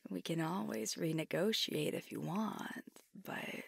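A woman voicing a husky whispering werewolf girlfriend. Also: on the low side, no echo, full character voice. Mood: playful. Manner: slow, breathy, teasing with small laughs. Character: fiery young werewolf girlfriend, stubborn and teasing. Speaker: voice actor. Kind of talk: ASMR roleplay, soft-spoken and whispered.

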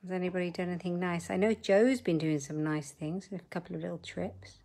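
A woman's voice: lowish voice, fairly even intonation, a little fast, dark tone. A middle-aged woman hosting a live crafting demo from her desk at home, chatting with viewers and muttering asides as she works. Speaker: papercraft host.

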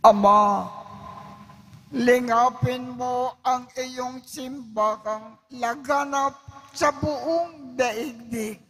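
Very slow, solemn male priest. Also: very high-pitched, a bit bright, a little hiss or hum. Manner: solemn, measured, deliberate phrasing. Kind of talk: reciting the Mass liturgy. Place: large church.

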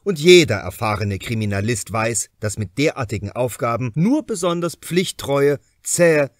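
Male audiobook narrator for crime fiction: widely varied intonation, deliberate pauses, dry close sound. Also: mid-range voice, dry recording. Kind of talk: scripted narration of a crime novel.

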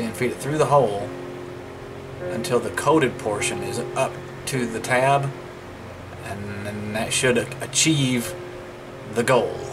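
A man's voice: medium pitch, low-quality recording. A man giving informal, folksy hands-on commentary, thinking aloud as he hosts a repair vlog indoors at a work table. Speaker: host of a DIY electronics repair vlog. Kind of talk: casual hands-on commentary while working. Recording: home recording with clear background noise.

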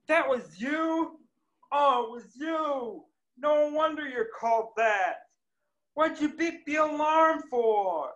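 A man reading the part of an easily annoyed bar owner in an amateur table read over a video call, shouting and complaining in exasperation. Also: very high-pitched, animated, slow, highs cut off, heavily acted. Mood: exasperated. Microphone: laptop webcam mic on video call.

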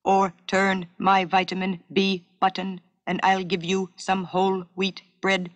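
A woman's voice: medium pitch, completely flat delivery, unhurried, no room sound, lo-fi sound, natural voice. A woman voicing a boy who plays a lumbering monster robot, in a hollow, stilted, mock-menacing way. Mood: stilted, instructive.

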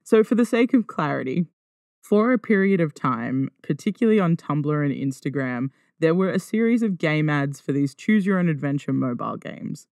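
A woman's voice: sits low, lively intonation, moderate pace, a little mellow, no room sound. A woman hosting a podcast solo in a casual, conversational way, wry and self-deprecating. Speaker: podcast host. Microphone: close podcast microphone.

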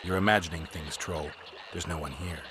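An actor playing a stoic, gruff monster hunter in a video game, terse and deadpan. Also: male voice, low-pitched, brisk, heavy background noise, lightly acted. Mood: stern.

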